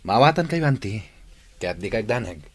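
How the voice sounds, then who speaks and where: A man's voice: on the low side, sing-song, moderate pace, slight background noise. A man with a Filipino Ilocano accent playing a loyal young shop helper and respectful nephew in a radio drama.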